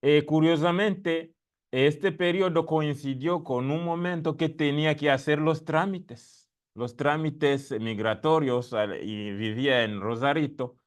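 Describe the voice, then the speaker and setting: Congolese man, smooth voice, halting Spanish. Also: fairly high pitch. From Democratic Republic of the Congo. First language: not Spanish; spoke Italian for years before. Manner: hesitant, many fillers and restarts. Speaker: doctoral student panelist. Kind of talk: academic panel talk. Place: lecture room at a university event.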